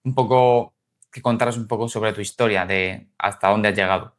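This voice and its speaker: A man's voice: lowish voice, steady tone, moderate pace. A man interviewing a guest for a podcast in an informal, conversational way, often rephrasing his questions.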